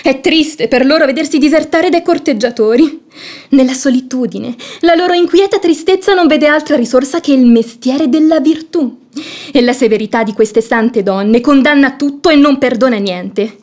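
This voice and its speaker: An actress playing a sharp-tongued, outspoken young maidservant in a theatrical radio play, with a sardonic, pointed delivery. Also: female voice, high voice, normal speed, close to an everyday voice. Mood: mocking, sardonic.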